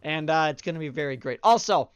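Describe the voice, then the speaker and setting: A young man with a slightly high voice talks through a game in a chatty, upbeat way, full of fillers and asides. His nose sounds stuffed up. The recording is dry and a bit dull, close to the mic. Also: expressive, fast talker, smooth, no echo. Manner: upbeat, chatty, shout-outs with many fillers. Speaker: gaming channel host. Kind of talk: casual let's-play commentary.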